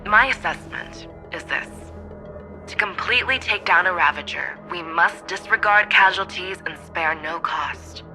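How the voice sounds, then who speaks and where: An actor voicing a grave male military strategist who gives a measured, cautious briefing. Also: high voice, bright, forceful tone, soft background music, exaggerated voice. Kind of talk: game voice acting.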